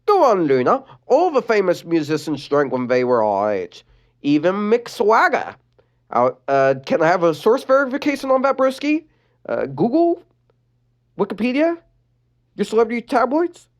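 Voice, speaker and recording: A man's voice: high-pitched, huge pitch swings, normal speed, pitched above the performer's own voice. A man doing a pushy, sarcastic teen bandmate. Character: pushy teenage bandmate, mocking.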